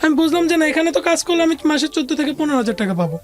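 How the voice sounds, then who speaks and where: A woman's voice: high voice, lively intonation, very fast, soft background music. A woman with a Bangladeshi accent recounting her life story conversationally as an interview guest, mixing in English words. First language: Bengali.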